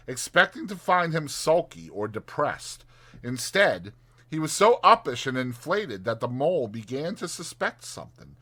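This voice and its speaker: A man's voice: medium pitch, bright, forceful tone. A man narrating a classic storybook as a dramatized audiobook.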